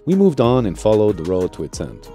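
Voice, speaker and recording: Deep, dark-voiced man narrating. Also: huge pitch swings, a little fast, soft background music. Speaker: vlog host and narrator. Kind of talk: voice-over narration.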